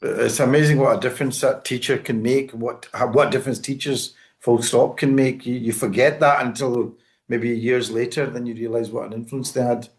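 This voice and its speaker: A man's voice: mid-range voice, steady tone, moderate pace. A man hosting a radio interview, asking questions in a conversational way with some hesitation. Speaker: radio host.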